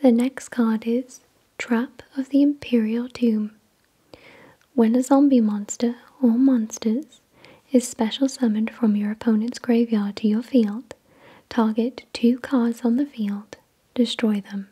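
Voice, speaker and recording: A woman whispering softly and breathily in ASMR style, reading aloud in a deliberate, measured way. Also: fairly high pitch, fairly even intonation, breathy edge. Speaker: ASMR video creator and host. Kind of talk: soft-spoken ASMR, reading card text aloud.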